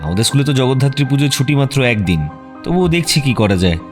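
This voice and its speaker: An actor playing a composed, wry detective with a dry, teasing, self-assured manner in a radio drama. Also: male voice, mid-range voice, very animated, fast, faint music behind, lightly acted.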